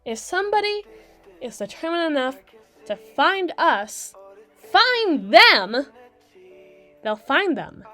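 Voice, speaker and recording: Mermaid lawyer, played by a woman, fairly high voice. Blunt, dry-witted, lecturing in measured, pointed phrases. ASMR roleplay, faint music underneath. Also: pushed and bright, full character voice. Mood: ominous. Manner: articulate, pointed, lecturing with deliberate dramatic pauses. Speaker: audio roleplay performer. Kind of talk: ASMR roleplay monologue, scripted. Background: background music.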